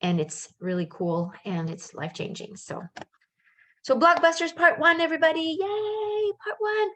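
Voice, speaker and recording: A woman's voice: very high voice, expressive, moderate pace, a bit bright, slight background noise. A middle-aged woman who was born in Canada and raised in France, a life coach giving a casual live webinar in a chatty, upbeat way with lots of fillers and asides.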